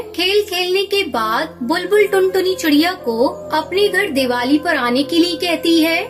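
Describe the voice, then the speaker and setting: Female narrator for children's tales: very high pitch, scripted storybook delivery over soft music. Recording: music under the voice.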